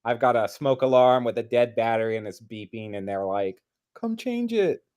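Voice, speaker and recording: A man's voice: medium pitch, expressive, normal speed, darkish tone, smooth. A man chatting casually as co-host of a sports watch-along. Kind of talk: casual chat.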